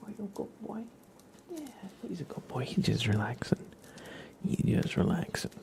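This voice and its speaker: A man hosting an ASMR livestream, chatting casually in a soft, gentle, whispered way. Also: fairly high pitch, huge pitch swings, normal speed, gravelly.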